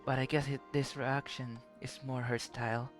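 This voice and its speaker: A man's voice: mid-range voice, level tone, normal speed. A man giving casual reactions as a live game streamer. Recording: game music behind the voice.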